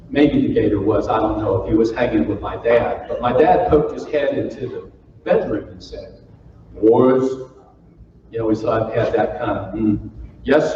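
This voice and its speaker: Folksy man in an echoey hall. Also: fairly low pitch. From Florida. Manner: folksy, jokey, playful with the audience. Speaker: keynote speaker. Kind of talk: humorous keynote storytelling to a live audience. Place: large conference hall. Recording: far-off roomy hall, muffled with faint noise.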